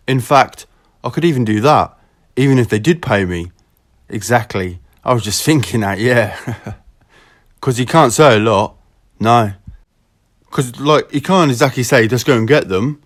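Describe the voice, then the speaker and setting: A man with a southeast England accent chatting casually in slangy, sweary language on a recorded telephone call. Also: lowish voice. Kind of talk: casual phone chat.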